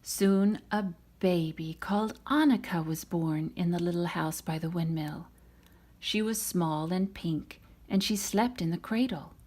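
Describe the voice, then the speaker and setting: A woman reading a children's storybook aloud in an expressive, dramatic manner. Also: lowish voice, unhurried, breathy edge. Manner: dramatic, voices the characters' lines. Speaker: storybook reader.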